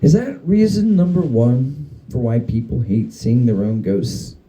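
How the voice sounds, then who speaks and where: A man's voice: mid-range voice, animated, a little slow, mellow, rough recording. A man giving a dramatic reading of a humorous spooky story, with wry, theatrical and emphatic phrasing. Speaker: story reader.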